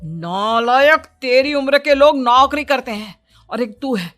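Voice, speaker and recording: A man's voice: very high-pitched, a little slow, pushed and bright, faint music behind, heavily acted. A man playing a stern, exasperated middle-aged father who scolds and nags, in a cartoon moral story. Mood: angry, suspicious. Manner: scolding, nagging.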